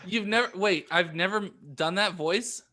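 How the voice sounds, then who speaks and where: A man co-hosting a podcast in casual, joking banter, halting and self-deprecating. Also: sits high, sharp tone. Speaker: podcast co-host. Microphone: remote video call.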